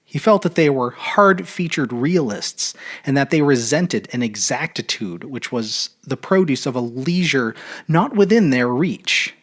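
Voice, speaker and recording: A man narrating a literary short story aloud in an expressive, measured, dramatic audiobook style. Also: medium pitch. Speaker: storyteller reading fiction aloud.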